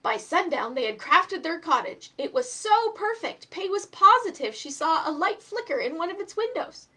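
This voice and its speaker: A woman's voice: very high-pitched, big pitch swings, normal speed, sharp tone. A woman hosting a children's storytime, talking to viewers and reading a story aloud expressively. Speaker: storytime host and reader.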